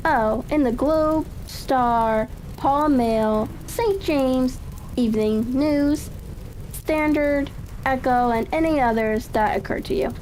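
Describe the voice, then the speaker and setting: Genial gentleman detective read by a woman, storybook style, assured and courteous, high mellow voice, faint crackle behind. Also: slow. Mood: brisk, commanding.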